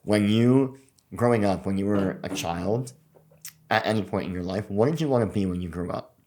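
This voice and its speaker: A man's voice: on the low side, normal speed, mellow, slight background noise. A man hosting a podcast, asking his guest easygoing, curious questions. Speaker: podcast host. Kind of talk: interview questions.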